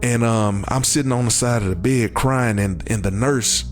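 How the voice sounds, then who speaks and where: A man's voice: mid-range voice, normal speed. Earnest man giving testimony over faint music. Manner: earnest, emphatic repetition of phrases. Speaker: interview guest. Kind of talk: personal testimony, conversational storytelling.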